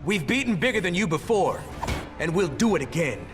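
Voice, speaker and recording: A man playing an earnest, confident superhero in an animated video game. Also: sits high, fairly quick. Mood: defiant. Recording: music behind.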